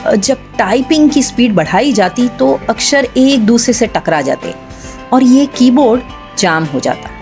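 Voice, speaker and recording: A woman from India narrating an inspirational story in a measured way, speaking directly to her listeners. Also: medium pitch, a little mellow. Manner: measured, addresses listeners directly with rhetorical questions. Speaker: storyteller and host. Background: background music.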